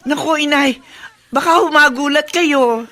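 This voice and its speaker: An actress playing a dutiful, gentle middle-aged mother in a radio drama. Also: sits high, unhurried. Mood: respectful, reassuring.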